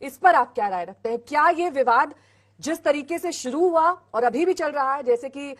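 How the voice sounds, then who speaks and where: Fairly high-voiced woman news interviewer. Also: animated, moderate pace. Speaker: interviewer on a panel. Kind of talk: challenging news interview questioning.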